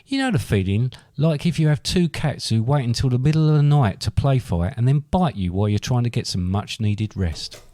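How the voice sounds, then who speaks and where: A man's voice: medium pitch, animated, fairly quick, hardly any pauses, warm tone. A man reading scripted comic narration as a podcast co-host.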